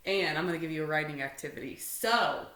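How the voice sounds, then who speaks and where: A woman's voice: deep voice, fairly quick, fairly bright tone. A woman reading a children's storybook aloud expressively, like a teacher to pupils. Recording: roomy indoor echo.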